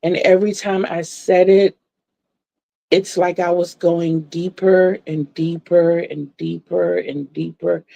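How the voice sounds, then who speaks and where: Slow-talking woman in her sixties. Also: on the low side, fairly even intonation, vocal fry, mellow. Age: 60s. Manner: chatty, laughs often, repeats words. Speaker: livestream vlogger. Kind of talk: casual chat to viewers. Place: home room lit by a floor lamp.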